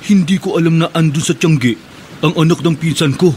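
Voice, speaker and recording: A man's voice: fairly high pitch, fairly quick, darkish tone, lightly acted. An actor playing a secretive, hardened militant man who speaks insistently in a Filipino radio drama. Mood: stern, insistent. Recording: noisy scene with rain-like ambience.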